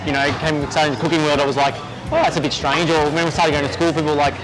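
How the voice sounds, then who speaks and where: A young adult man with an Australian accent, talking casually as an interview guest, halting and restarting often. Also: on the high side, level tone, over music, a crowd in the background, low-quality recording. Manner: easygoing, halting with many restarts and fillers. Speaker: executive chef, interview guest.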